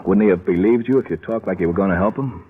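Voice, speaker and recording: A man playing a police lieutenant in a radio detective show. The voice is deep, measured and a little hesitant. The old recording is thin and tinny with faint noise. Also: close to an everyday voice. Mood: doubtful, sympathetic. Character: measured, mildly doubtful male police lieutenant. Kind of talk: old-time radio drama acting.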